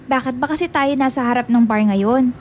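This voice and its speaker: A woman's voice: high voice, a little fast, a little mellow, a little hiss or hum, lightly acted. A woman playing an earnest, scolding young female student in a radio drama, speaking with a Filipino accent and mixing in English. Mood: annoyed, scolding. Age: young adult. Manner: indignant, mixes Tagalog and English. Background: faint music.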